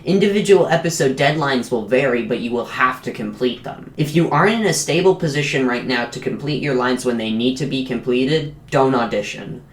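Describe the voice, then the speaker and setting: A man reading a scripted explainer announcement as the creator of an animated show. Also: mid-range voice, a little fast, hardly any pauses. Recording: roomy, echoing voice recording.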